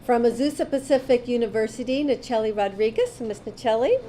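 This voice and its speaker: A woman's voice: on the high side, lively intonation, fairly quick, noisy background. A woman emceeing a student awards breakfast, graciously thanking volunteers and guests one by one. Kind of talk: ceremony announcements.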